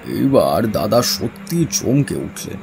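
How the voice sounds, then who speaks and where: A man narrating a horror story in the first person, grave, deliberate and dramatic. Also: lowish voice, sing-song, creaky. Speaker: narrator and lead character of horror audio story. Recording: music under the voice.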